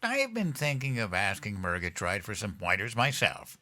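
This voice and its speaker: Goofy naive old president for an audio sitcom, sweet childish husband, very animated pitch. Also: male voice, moderate pace, heavily acted. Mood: earnest, tired. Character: childish, guileless older male US president, doting husband.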